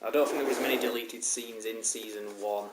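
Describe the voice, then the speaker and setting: A man's voice: medium pitch, fairly even intonation, brisk. A man with a head cold, rambling casually through a DVD collection review with many ums and restarts. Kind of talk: casual show-and-tell review. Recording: roomy echo, loud background hiss.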